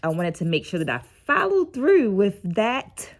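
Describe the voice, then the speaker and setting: A woman's voice: medium pitch, very animated, normal speed. A middle-aged woman who works as a dance educator, talking in a casual, reflective vlog with a teacherly manner, often checking in with the listener, and sounding tired. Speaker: dance educator and video host.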